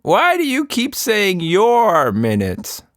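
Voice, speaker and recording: A wry, easygoing neighbor lady acted out by a man, pitched well above a male speaking voice. She sounds like a grown-up character in a children's storybook. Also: huge pitch swings, slow pace, fairly bright tone, no room sound, clean studio sound, full character voice. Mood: puzzled.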